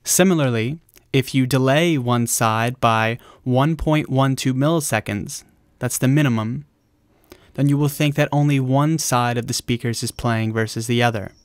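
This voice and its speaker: A man's voice: medium pitch, moderate pace, dry recording, clean studio sound. A man presenting an explanatory video tutorial in a plain-spoken, informal instructional way.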